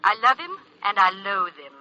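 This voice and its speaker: An actress playing an icy, haughty, sardonic society wife in an old-time radio drama, speaking with cool disdain. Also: female voice, medium pitch, expressive, moderate pace, pushed and bright. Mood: icy, mocking. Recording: tinny old radio telephone sound.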